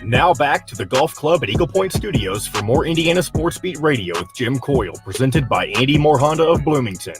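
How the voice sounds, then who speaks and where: A man's voice: mid-range voice, animated, a little fast, hardly any pauses. A man reading scripted sponsor lines and a show intro in a polished announcer style. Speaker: radio announcer. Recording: music bed behind voice.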